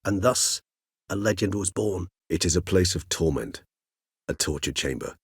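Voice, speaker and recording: An actor playing a courteous, erudite, world-weary centuries-old vampire in a video game, speaking in measured, eloquent, formal phrases. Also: male voice, sits low, animated, breathy edge, dry recording, clean studio sound. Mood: measured, scholarly. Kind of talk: video game character dialogue.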